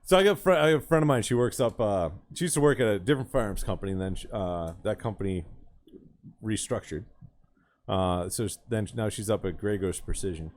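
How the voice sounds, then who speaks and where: A man's voice: on the low side, fairly quick. A man who hosts a podcast, telling a story in casual chat that is full of swearing. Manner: animated, profane storytelling. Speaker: podcast host.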